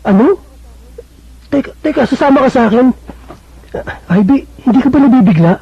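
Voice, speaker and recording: An actor with a Filipino accent playing a timid, smitten young suitor, hesitant and stammering, in a radio drama. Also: male voice, extremely high pitch, animated, moderate pace, husky, dry recording, full character voice. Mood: flustered. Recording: dull lo-fi radio broadcast.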